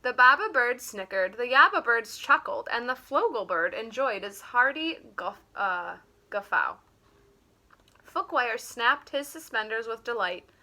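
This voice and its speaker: Female children's story reader, teacherly: slow, deliberate, highly varied intonation. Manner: animated, deliberate. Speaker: teacher reading a picture book aloud. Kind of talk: expressive children's story reading.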